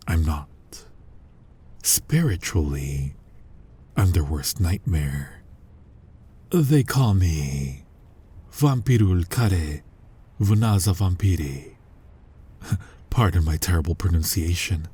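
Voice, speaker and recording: A male actor voices an ancient vampire who preys on other vampires, in an intimate ASMR roleplay. His voice is low, dark and heavily breathy, sinking toward whispers. He speaks with deliberate, brooding menace and total dominance. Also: full character voice. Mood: dark pride. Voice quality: husky, breathy, often dropping to a whisper. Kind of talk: scripted ASMR roleplay monologue.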